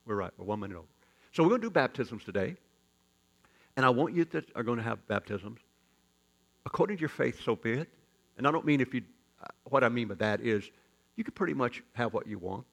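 A man pastor preaching a sermon as folksy, joking storytelling, with sudden loud rises in his delivery. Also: medium pitch, animated, fairly quick, gravelly, long pauses.

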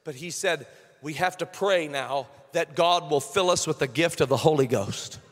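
A male pastor preaching a sermon, moving between quiet storytelling and shouted, fervent praise. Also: a little high, moderate pace, big-room sound.

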